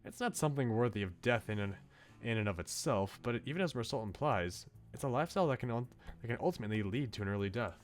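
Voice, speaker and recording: Man acting out bookish young male student, visual novel, articulate musing, lively intonation, faint music behind. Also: medium pitch, lightly acted. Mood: earnest, critical. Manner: articulate, philosophical, measured. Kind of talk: visual novel dialogue read aloud.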